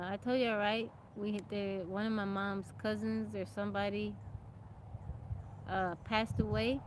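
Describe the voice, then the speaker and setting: Woman streamer on a phone outdoors, lively storyteller, mid pitch, farm ambience and animals audible. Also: background noise. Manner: animated storyteller, laughs often, thanks viewers by name. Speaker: live streamer. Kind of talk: casual livestream storytelling and chat.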